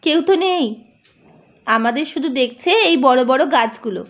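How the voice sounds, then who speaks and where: A woman's voice: high voice, lively intonation, measured pace, highs cut off. A woman reading a teasing young man in love. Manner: joking, coaxing.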